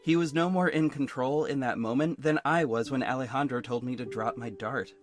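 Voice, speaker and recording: A man's voice: medium pitch, brisk, close to an everyday voice. A man playing a young adult superhero who narrates his own story in a hurt, frank, introspective way for an audio drama. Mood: brooding, reflective. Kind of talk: first-person audio drama narration with dialogue. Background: instrumental music.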